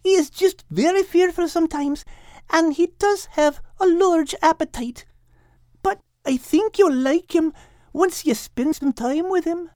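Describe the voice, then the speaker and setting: A man voicing a sweet female dog with a gentle, polite and kindly manner in an audiobook. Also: very high-pitched, unhurried, no echo, clean studio sound, voice pushed up. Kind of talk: animated audiobook character reading.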